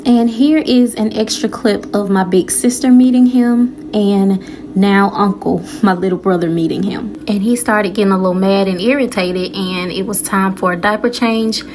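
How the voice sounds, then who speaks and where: A woman's voice: mid-range voice, steady tone, normal speed, darkish tone. Young woman, stuffy nose, chatty y'all vlog narration, faint background hum. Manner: chatty, addresses viewers as y'all. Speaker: vlogger.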